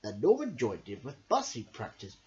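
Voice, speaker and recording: A woman's voice: extremely low pitch, wildly swooping pitch, moderate pace, a little mellow, highs cut off. A woman hosting a children's storytime, reading expressively and addressing young listeners directly. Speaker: storyteller and host.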